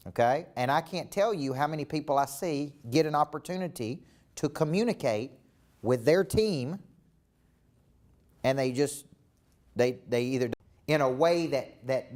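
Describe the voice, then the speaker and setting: A man's voice: on the high side, a little mellow. Emphatic Southern man coaching leaders. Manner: emphatic, repetitive, preacher-like cadence. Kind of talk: live seminar talk.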